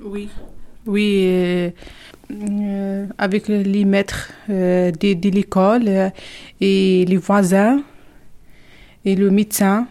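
Young woman from algeria, not a native speaker, slow and hesitant, mellow low-key voice. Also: little pitch movement. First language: not French. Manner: halting, simple French, many hesitations. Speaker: interviewee, French learner. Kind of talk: hesitant interview answers.

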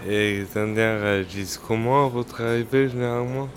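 Male interviewer, low voice, hesitant questions, faint outdoor noise. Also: a little fast. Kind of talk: asking questions.

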